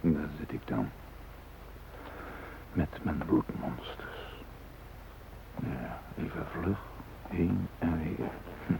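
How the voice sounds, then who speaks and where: A man's voice: very deep voice, animated, drawn-out words, gravelly, pauses often, exaggerated voice. An actor in a radio drama playing an anxious but resourceful hostage, muttering his thoughts in fragments. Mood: worried, muttering. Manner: muttering, fragmented, self-steadying. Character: anxious, resourceful male hostage thinking aloud. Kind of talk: radio drama inner monologue. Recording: faintly noisy old radio recording.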